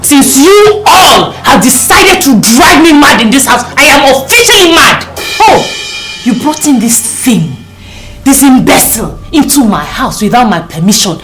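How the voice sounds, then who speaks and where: High-pitched, furious Nigerian woman. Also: big pitch swings, moderate pace, loud music behind the voice. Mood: furious, outraged. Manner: haughty, confrontational, scolding. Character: furious, domineering woman defending her house and man. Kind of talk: melodramatic film acting.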